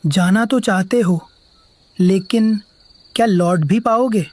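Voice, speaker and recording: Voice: mid-range voice, slow pace, a little mellow, no echo. A performer voicing a mysterious, ghostly old tea-stall man who gives ominous warnings in a horror cartoon. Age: older. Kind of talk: dramatic horror story dialogue.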